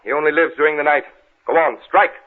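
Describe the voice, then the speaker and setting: An actor playing a shrewd, commanding gentleman investigator with measured, formal speech in an old-time radio horror drama. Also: a little high, very noisy, natural voice. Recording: muffled, band-limited old radio recording.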